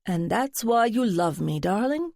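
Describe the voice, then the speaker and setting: A woman's voice: medium pitch, big pitch swings, unhurried, vocal fry, a little mellow, dry recording, clean studio sound, full character voice. A woman narrator voicing a flamboyant, dramatic man who drawls "darling" and talks with theatrical flourishes. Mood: flirty, playful. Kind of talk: audiobook character voice.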